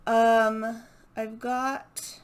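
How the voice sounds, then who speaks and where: A woman chatting casually and unscripted as a book vlogger, hesitant and halting as she thinks aloud. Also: on the high side, little pitch movement, slight background noise. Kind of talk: casual unscripted chat to camera.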